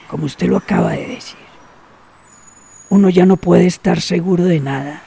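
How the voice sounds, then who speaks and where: An actor playing a secretive, cynical older man in a radio drama, who talks slowly and knowingly and drops to near whispers. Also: high-pitched, vocal fry, dark tone, breathy edge, exaggerated voice. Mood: sly.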